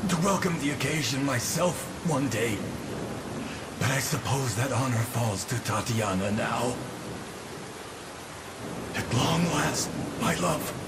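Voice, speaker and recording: A man's voice: mid-range voice, heavy background noise, close to an everyday voice. Solemn, driven nobleman played by a man. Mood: resigned. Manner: formal, solemn, grandiloquent. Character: grave, driven nobleman obsessed with experiments and cure. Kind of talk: fantasy video game acting.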